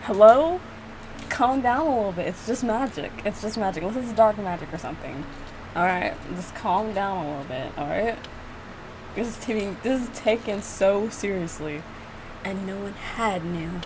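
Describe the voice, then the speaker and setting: Lively young woman reacting, noisy recording. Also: mid-range voice, normal speed. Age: young adult. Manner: giggly, self-mocking, repeats phrases. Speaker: gaming reaction video creator. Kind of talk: casual reaction commentary with lines read aloud.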